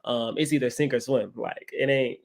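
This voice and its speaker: Young man chatting casually, mid-pitched voice. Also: fairly even intonation, normal speed, gravelly, darkish tone. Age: 20s. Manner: often checks in with "right?". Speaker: guest, credit content creator. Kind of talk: casual conversational storytelling.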